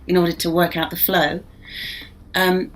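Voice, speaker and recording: A voice actress with a mixed accent, talking casually and reflectively in an interview. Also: female voice, lowish voice, flat delivery, normal speed, soft background music, low-quality recording. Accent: mixed, no single regional accent.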